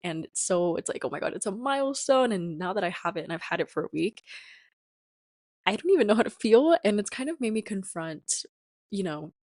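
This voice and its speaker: A woman's voice: on the low side, darkish tone, dry recording, studio quality. A 21-year-old woman hosting a casual, reflective solo podcast in a chatty, rambling way with lots of filler words. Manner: chatty, rambling, sprinkled with "like" and "you know". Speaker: podcast host.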